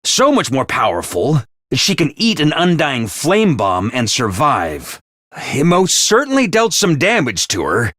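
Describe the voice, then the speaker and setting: A man voicing a brisk, ruthless academy commander in a video game, barking orders and announcements. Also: on the high side, huge pitch swings, a bit bright, no room sound, studio quality, full character voice. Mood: analytical. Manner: clipped orders and announcements, drill-sergeant bark. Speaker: voice actor in a game.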